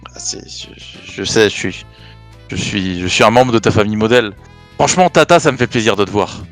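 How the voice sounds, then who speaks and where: A man's voice: lowish voice, lively intonation, brisk, faint music behind. Stammering man asking probing questions. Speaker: player. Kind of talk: in-character tabletop role-play.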